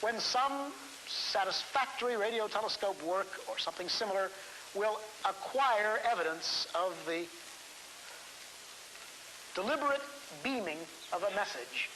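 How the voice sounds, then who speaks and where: A man speaking as a scientist in unscripted, lecture-like talk, halting and deliberate, repeating phrases for emphasis. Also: high-pitched, lively intonation, bright, forceful tone, poor audio. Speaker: scientist interviewee. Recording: hissy vintage 1970s film soundtrack.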